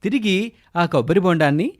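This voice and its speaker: Animated high-pitched male storyteller. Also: a little fast. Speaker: storyteller narrator. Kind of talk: scripted children's story narration.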